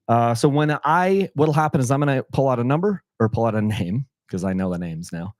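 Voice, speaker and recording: A middle-aged man with a slightly hoarse voice, hosting a casual livestream from his house and rambling with frequent self-corrections. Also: mid-range voice, animated, fairly quick, a little mellow, dry recording, clean studio sound. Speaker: channel host running a sweepstakes livestream.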